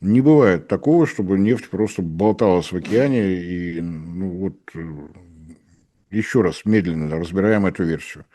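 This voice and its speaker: A man's voice: low voice, moderate pace, mellow. Dry, mocking middle-aged man. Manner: ironic, dryly mocking, acts out dialogues. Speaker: energy analyst guest. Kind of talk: sarcastic analytical commentary.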